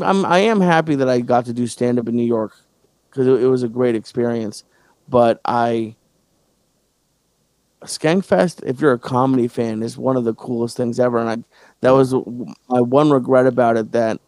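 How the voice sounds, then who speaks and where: A male podcast host and stand-up comic chats casually, stumbling through restarts and filler words. His voice sits at an ordinary pitch. The sound is close and dry, with no room around it. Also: darkish tone, no room sound. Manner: halting, many restarts and fillers.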